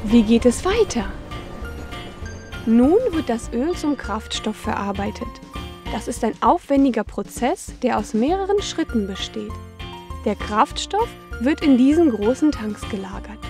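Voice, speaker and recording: Female narrator, higher-pitched, for a preschool educational cartoon; instructive question-and-answer delivery over clear background music. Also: lively intonation, a little slow, warm tone. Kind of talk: scripted narration for young children.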